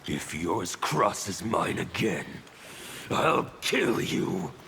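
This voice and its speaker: An actor playing a fanatical anarchist revolutionary in a fighting game, with a gravelly, menacing, deliberate delivery. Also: male voice, low-pitched, very animated, in a large hall, very noisy, heavily acted. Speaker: video game character. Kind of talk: dramatic fighting game dialogue.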